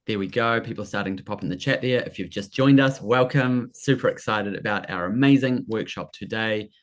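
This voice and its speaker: An upbeat man hosting a live workshop over a video call, his voice sitting a little low. He keeps the energy bright, greeting people and repeating friendly prompts as they join. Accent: non-American. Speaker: workshop host. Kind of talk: enthusiastic live webinar hosting.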